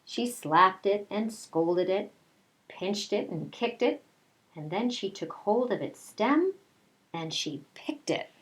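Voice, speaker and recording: A woman's voice: medium pitch, lively intonation, takes it slowly, poor audio. A woman kindergarten teacher doing story time for young children, talking to them directly in a conversational way. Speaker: kindergarten teacher reading a picture book aloud. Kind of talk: story time for young children, read-aloud mixed with casual chat.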